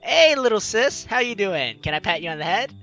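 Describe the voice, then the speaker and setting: A man's voice: sits high, fairly quick, lo-fi sound. A young man hosting a let's play, casually commenting and reading game dialogue aloud in a playful way. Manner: animated, playful. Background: game music.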